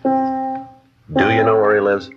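An actor playing a terse police detective, narrating his case in the clipped, deadpan manner of 1950s radio drama. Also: lowish voice, takes it slowly, muffled recording, over loud music, exaggerated voice. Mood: businesslike. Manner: deadpan, matter-of-fact.

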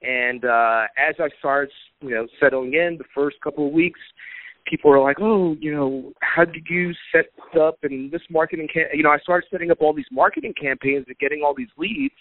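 A man being interviewed as a guest on a podcast, telling his story in a relaxed way with many fillers. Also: a little high, normal speed, no room sound. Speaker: podcast guest, marketing coach. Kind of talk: casual interview talk. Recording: tinny phone-like line, dry.

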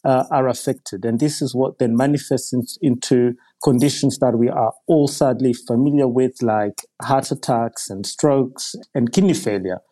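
Male physician guest, measured explainer with a dark, mellow voice. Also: mid-range voice. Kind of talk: podcast interview answer.

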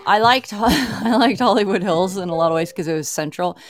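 A woman's voice: medium pitch, a little fast, faint music behind. A woman from Southern California chatting casually as a guest on a talk show. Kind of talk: casual chat.

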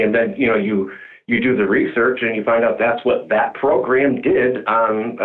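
A middle-aged man with a low voice talks through a narrow, phone-like connection. He explains things in a casual, rambling way, as a guest in an interview. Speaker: community theater director, interview guest. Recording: muffled narrowband phone-like audio.

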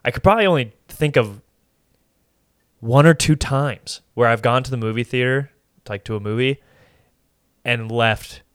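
A man's voice: medium pitch, normal speed, pauses often, clear, resonant tone, no room sound, studio quality. A man co-hosting a podcast, chatting casually in an easygoing, rambling, hedging way. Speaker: podcast co-host. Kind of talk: casual conversational chat.

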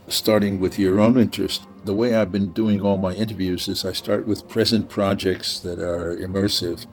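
Male podcast host, deep pitch, gravelly fry, interviewer and presenter. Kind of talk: scripted introduction, then unhurried interview talk.

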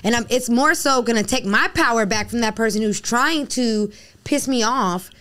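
A woman's voice: a little high, moderate pace. A woman from the Bahamas talking frankly in a casual podcast chat, acting out little quotes as she makes her points. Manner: frank, animated storytelling with acted-out quotes.

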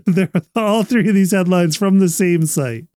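Male panelist, clear smooth voice on the higher side, easygoing chat delivery. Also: moderate pace, darkish tone, no room sound, studio quality. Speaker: podcast panelist. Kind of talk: casual chat.

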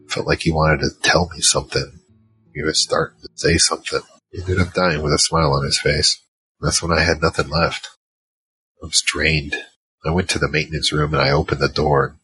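A man's voice: very deep voice, sharp tone, slight background noise, exaggerated voice, rough recording. A man playing a battle-worn gunfighter who narrates his own story in an audio drama, terse and world-weary. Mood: grim, drained. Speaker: narrator and lead character. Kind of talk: first-person noir-like audio drama narration. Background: music.

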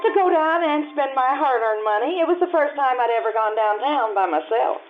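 A woman's voice: high-pitched, animated, brisk. Actress as a wistful woman reminiscing, tinny radio sound. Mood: candid, reminiscing. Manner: reminiscing, earnest, gently storytelling. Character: wistful grown woman fondly recalling her Depression childhood. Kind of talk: old-time radio drama acting, narration and dialogue. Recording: tinny narrow-band radio-play recording with music.

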